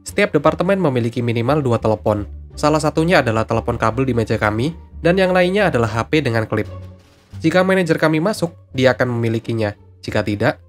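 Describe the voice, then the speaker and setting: A man reading out scripted stories as a narrator, with music behind him. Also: medium pitch.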